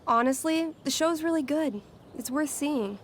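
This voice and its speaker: A woman's voice: sits high, unhurried, slight background noise. A woman playing a friendly, candid, considerate teenage girl in a video game, chatty and earnest. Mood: enthusiastic, chatty. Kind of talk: naturalistic video game acting.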